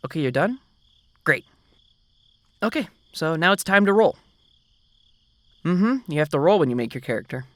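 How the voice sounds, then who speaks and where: A man's voice: fairly high pitch, big pitch swings, moderate pace, pauses often, a bit bright, dry recording. A man doing an ASMR roleplay as a boyfriend, patiently explaining things to the listener. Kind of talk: soft-spoken ASMR roleplay.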